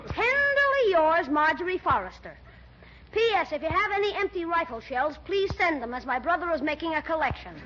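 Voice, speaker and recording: An actor playing a cheeky, wisecracking young schoolboy with brash, declamatory delivery in old radio comedy. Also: male voice, very high voice, sing-song, fairly quick. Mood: deadpan, cheeky. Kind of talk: 1940s radio comedy acting. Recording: muffled, noisy 1940s radio recording.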